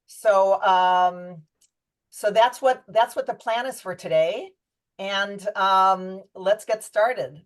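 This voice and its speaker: A woman's voice: mid-range voice, takes it slowly. A woman hosting an online panel on a video call, chatty, with many fillers and restarts. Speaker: event host.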